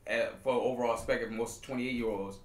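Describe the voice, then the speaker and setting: A man's voice: medium pitch, steady tone, a little fast. A man in his late twenties with a New Orleans accent, rambling with many fillers in casual podcast chat. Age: 20s. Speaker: podcast co-host. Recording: roomy echo.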